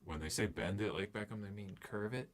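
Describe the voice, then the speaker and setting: A man's voice: lowish voice, fairly quick, crowd noise. A man chatting casually while playing a board game on a stream, thinking aloud and explaining things pedantically. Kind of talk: casual game commentary chat.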